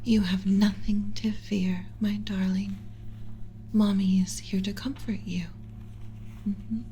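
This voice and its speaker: A woman voicing a gentle, motherly alien scientist in an ASMR roleplay, speaking in a soothing, measured, instructional, often whispery way. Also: mid-range voice, little pitch movement, slight background noise, low-quality recording. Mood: tender, reassuring. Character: gentle motherly alien scientist teaching a class about pet human.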